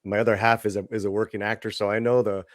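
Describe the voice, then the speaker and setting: A man's voice: lowish voice, level tone, fast, no room sound, studio quality. A man hosting a casual interview, asking long rambling questions full of fillers and restarts. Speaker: interview host.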